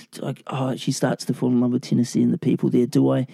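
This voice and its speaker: A man co-hosting a podcast, chatting about a film in a loose, rambling, jokey way, his voice raspy and sleepy from the late hour. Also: mid-range voice, monotone, quick pace, mellow, no room sound. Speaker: podcast co-host. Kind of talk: casual film review chat.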